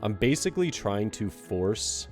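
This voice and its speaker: A young man with a congested voice, casually commenting on a card game match with relaxed, filler-heavy play-by-play and some self-criticism. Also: on the low side, measured pace, soft background music.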